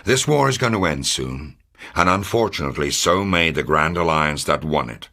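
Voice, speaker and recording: A man playing a gruff, fatherly, middle-aged American intelligence officer in a wartime video game, grave and authoritative, with a gravelly, husky voice. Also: rumbling low, animated, moderate pace, bright, forceful tone, slight background noise, heavily acted. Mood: solemn, urgent. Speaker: military intelligence officer giving mission briefings. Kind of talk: scripted dramatic video game briefing.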